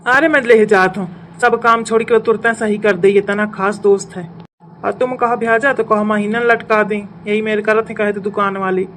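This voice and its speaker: A woman's voice: mid-range voice, steady tone, normal speed, fairly bright tone, a little hiss or hum, natural voice, poor audio. A woman voicing a doting, naive young village husband in an Awadhi cartoon comedy, with an Awadhi accent. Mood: doting, eager.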